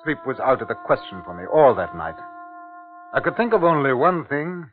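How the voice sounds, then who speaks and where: An actor playing an uneasy, awestruck district commissioner in a measured, grave 1950s radio drama style. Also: male voice, mid-range voice, very animated, lightly acted. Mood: haunted, fascinated. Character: uneasy, awestruck male colonial district commissioner recounting his ordeal. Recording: tinny, band-limited 1950s radio recording, music behind.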